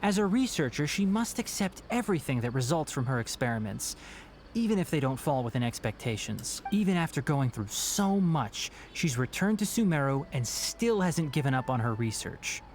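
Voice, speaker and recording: A man playing an earnest, thoughtful scholar in a video game, explaining things in a measured, respectful way. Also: a little high, sing-song, a little mellow, slight background noise. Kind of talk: video game voice acting, storytelling.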